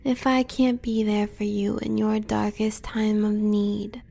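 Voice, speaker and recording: An American woman playing a devoted, coolly bloodthirsty huntress in a romantic audio roleplay, breathy and often whispering. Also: medium pitch, little pitch movement, dark tone. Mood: soothing, devoted. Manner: intimate, measured.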